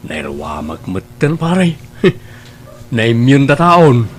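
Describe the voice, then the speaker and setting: A man's voice: mid-range voice, sing-song, very slow, full character voice. A man playing a carefree, flippant young womanizer in an Ilocano family audio drama. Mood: nonchalant. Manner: flippant, nonchalant. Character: carefree, cocky young womanizing playboy son. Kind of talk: Ilocano radio-style family drama acting.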